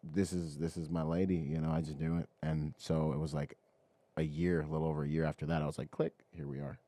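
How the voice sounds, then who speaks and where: A man's voice: rumbling low, level tone, fast, warm tone, dry recording. A man with an American accent telling personal stories casually as a podcast guest, in laid-back, slangy speech. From Bahamas and Washington State, US. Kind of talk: casual conversational storytelling.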